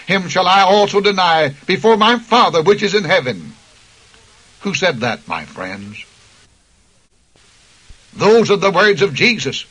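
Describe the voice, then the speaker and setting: A man's voice: a little high, a bit bright, slightly rough, rough recording. A man preaching a radio sermon with impassioned, emphatic delivery, citing scripture chapter and verse.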